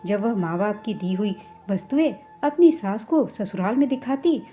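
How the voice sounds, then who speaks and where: A woman's voice: mid-range voice, expressive, moderate pace, warm tone. A woman narrating a scripted family story as a storyteller. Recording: slightly dull, muffled recording. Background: background music.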